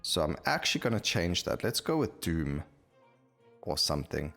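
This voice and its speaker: A man's voice: sits low, very animated, moderate pace, fairly bright tone, faint music behind. A man giving casual video game commentary, thinking aloud and explaining his choices as he plays. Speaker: gaming YouTuber.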